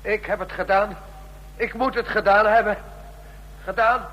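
A man's voice: high-pitched, fairly even intonation, a little slow, dull audio. An actor playing a frantic, obsessed man who repeats himself in an overwrought way, in a radio drama. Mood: frantic anguish. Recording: long echoing reverb.

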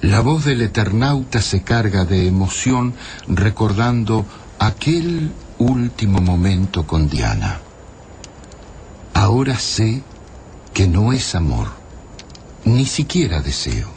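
Male literary narrator, reflective and unhurried, expressive intonation, faint background noise. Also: fairly low pitch. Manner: solemn, reflective. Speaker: radio drama narrator.

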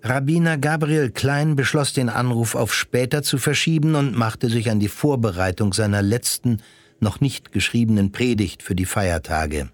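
A man's voice: mid-range voice, normal speed, dry recording, natural voice. A man playing the composed narrator of a radio play, reading scripted narration. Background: music.

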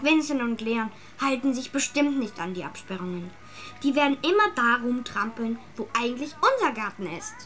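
Little schoolgirl in a radio drama, high voice, stubborn, matter-of-fact, childlike. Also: lively intonation, moderate pace, a bit bright, faint music behind. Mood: grudging. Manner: childlike, plain-spoken, insistent. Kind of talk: German radio play acting.

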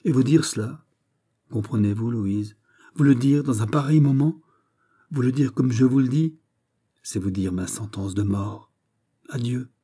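Guy reading as a jilted young gentleman, formal and heartbroken, dramatic audiobook, really soft dark tone. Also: medium pitch, measured pace, pauses often, breathy edge, voice pushed up. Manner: solemn, formal, grandly tragic. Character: betrayed young gentleman lover, noble, bitter, heartbroken.

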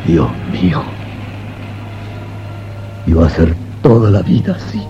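A man's voice: deep voice, big pitch swings, slow pace, mellow, full character voice. An actor playing a despairing man in a 1950s radio romance. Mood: anguished. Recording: muffled 1950s radio recording, music.

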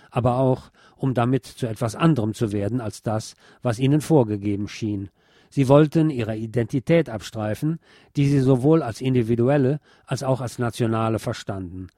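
Male narrator, mellow and dry-recorded, measured formal delivery of a written essay. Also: mid-range voice, no room sound. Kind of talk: scripted spoken essay on pop history, read aloud.

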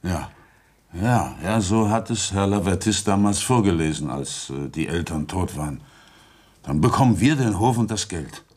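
A meek, simple farmer with a very low voice. He is hesitant and subdued, always conciliatory, in the manner of a radio play. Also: lively intonation, moderate pace, darkish tone, breathy edge. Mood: earnest. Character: meek, conciliatory farm brother, slow and simple. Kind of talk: German radio drama acting.

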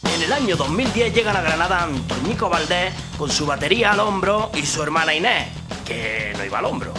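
A man narrates a mock documentary playfully, with a fairly high, very lively pitch and drawn-out phrases. Loud music plays under him throughout.

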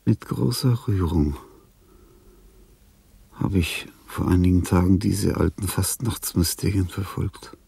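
An actor playing a lonely, dying, famous old composer in a radio drama, reflective and pompous, with a husky, gravelly voice. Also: male voice, low voice, mellow, exaggerated voice. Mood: moved, reflective. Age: older. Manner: declamatory, pompous, pausing, emphatic.

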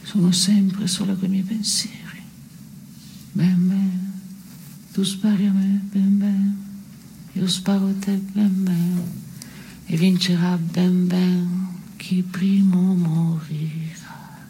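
An actress playing a scornful, morose woman in an absurdist stage play, gravelly and often hushed, speaking in a dry, deliberate way. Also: on the low side, little pitch movement, a little hiss or hum, low-quality recording. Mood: bleak, brooding. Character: scornful, morose woman brooding beside her male partner. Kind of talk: absurdist stage theatre dialogue and monologue.

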